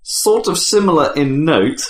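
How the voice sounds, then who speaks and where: A man's voice: on the high side, expressive, unhurried. A man hosting a casual gaming podcast, rambling and opinionated in his talk. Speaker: podcast host. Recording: echoey room with faint background noise.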